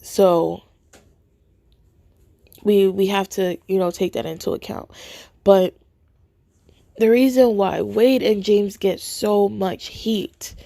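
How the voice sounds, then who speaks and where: Female podcast host, cold-hoarsened and unhurried, dark tone, conversational and halting, recorded close and dry. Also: medium pitch, steady tone, no room sound. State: hoarse from a cold, voice nearly gone. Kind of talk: casual spoken commentary to listeners. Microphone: phone held close to mouth.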